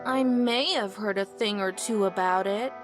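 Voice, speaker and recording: Man doing a high, sugary young woman. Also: moderate pace, over music, lightly acted. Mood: falsely cheerful. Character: young woman feigning sweet politeness to stranger.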